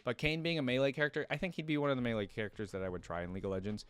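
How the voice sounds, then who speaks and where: A man's voice: medium pitch, fairly even intonation, rapid-fire, smooth, dry recording. A man hosting a reaction video, talking in a casual, enthusiastic and rambling way.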